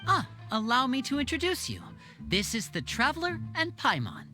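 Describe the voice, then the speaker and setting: A woman voicing a composed, courteous teenage boy in a video game, with a measured, reassuring manner. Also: high-pitched, huge pitch swings, pushed and bright, exaggerated voice. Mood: polite. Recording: background music under the voice.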